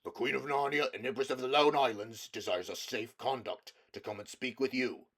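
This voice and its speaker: A man's voice: mid-range voice, moderate pace, fairly bright tone. A man voicing a male dwarf messenger in a storybook, formal and proclaiming. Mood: formal proclamation.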